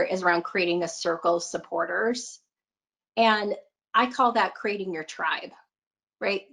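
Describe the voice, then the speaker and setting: A woman's voice: mid-range voice, fairly bright tone. A woman giving a conversational motivational talk as a coach, earnest and emphatic, repeating phrases for emphasis and often checking in with her listeners. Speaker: money mindset coach presenting a talk.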